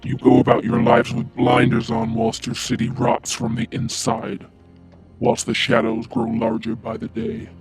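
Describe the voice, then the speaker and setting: A man playing a grim, anonymous conspiracy blogger in an audio drama, speaking in an ominous, deliberate way with a gravelly, breathy voice. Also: high voice, very animated, exaggerated voice. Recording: music behind the voice.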